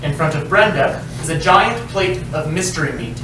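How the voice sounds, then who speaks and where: A man narrates the stage directions of a staged reading in a fairly high voice. He is distant from the microphone, with clear noise in the recording. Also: lively intonation, moderate pace. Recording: echoey noisy room from far off.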